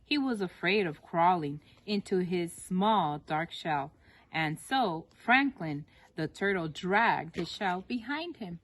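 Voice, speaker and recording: Woman reading aloud, very lively pitch. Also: takes it slowly. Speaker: storyteller addressing children. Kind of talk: read-aloud children's story narration.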